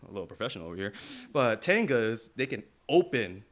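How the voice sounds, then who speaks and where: A man's voice: on the low side, big pitch swings, brisk. A man co-hosting a podcast in casual, crude banter, speaking in short, slangy, profane bursts. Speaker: podcast co-host.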